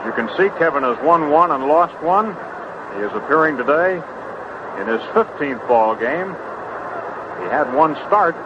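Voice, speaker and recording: A man's voice: on the high side, lively intonation, normal speed. A man calling a baseball game live as play-by-play announcer on an old radio broadcast. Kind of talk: live radio sports commentary. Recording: noisy, dull vintage radio broadcast.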